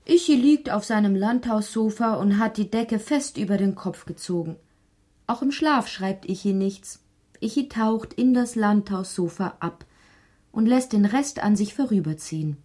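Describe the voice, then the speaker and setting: A woman narrating a poetic, absurd literary radio drama in deliberate, measured phrases. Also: medium pitch, dark tone, no echo, close to an everyday voice. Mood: musing, wry.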